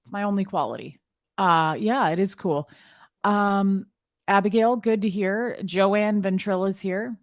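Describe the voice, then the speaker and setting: Raspy lady hosting a live stream, teasing and chatty, dry close sound. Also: mid-range voice, measured pace, dry recording. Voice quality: slightly raspy. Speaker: livestream co-host. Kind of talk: casual chat reading out viewer names.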